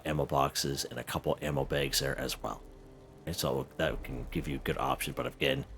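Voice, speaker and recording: A man hosting a casual game walkthrough, chatty and a little hoarse, who keeps checking in with "okay?" and "right?". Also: rumbling low, fairly bright tone, slight background noise. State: slightly hoarse. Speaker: gaming video host.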